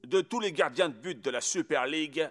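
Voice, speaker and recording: A man's voice: fairly high pitch, brisk, close to an everyday voice. A man voicing a serious football coach in a cartoon dub, firm and instructive. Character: serious male football coach briefing his team. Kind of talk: French cartoon dubbing.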